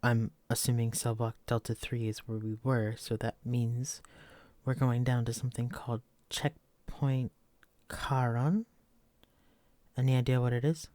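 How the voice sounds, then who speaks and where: A wary, protective escapee with uncanny mental gifts, acted by a man in an immersive horror audio drama. He speaks straight to his companion in a medium-pitched male voice. He stays watchful and guarding. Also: little pitch movement, unhurried, mellow, dry recording, lightly acted. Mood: uneasy. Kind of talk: immersive roleplay audio drama, addressing the listener.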